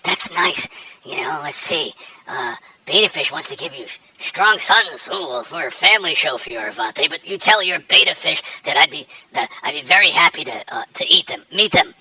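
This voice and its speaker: A man's voice: a little high, expressive, normal speed, pushed and bright, slightly rough, exaggerated voice, low-quality recording. A man voicing a nervous, self-important cartoon shark who hosts a show and rambles anxiously. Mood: fretful. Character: chatty nervous male cartoon shark host.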